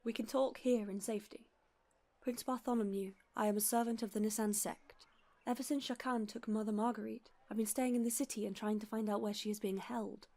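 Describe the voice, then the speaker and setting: A woman's voice: a little high, steady tone, a little fast, dark tone, natural voice. A woman playing an earnest, discreet young nun working as an informant in a radio play. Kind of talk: radio play acting, fan production.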